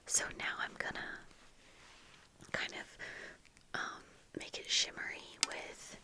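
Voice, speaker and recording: Young female ASMR creator, breathy close-mic whisper, leisurely and casual, with pauses. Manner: slow, soft-spoken, pausing while applying makeup. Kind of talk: whispered ASMR get-ready-with-me chat. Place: small indoor room with bookshelves. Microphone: close fluffy-covered desk microphone.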